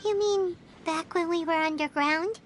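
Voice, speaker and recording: Very high, squeaky little fairy companion. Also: female voice, a little slow, slight background noise. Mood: earnest, chatty. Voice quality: squeaky, childlike. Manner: refers to self in third person, exclamatory. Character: tiny cheerful childlike female fairy companion, eager, excitable. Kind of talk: video game character dialogue. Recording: music behind the voice.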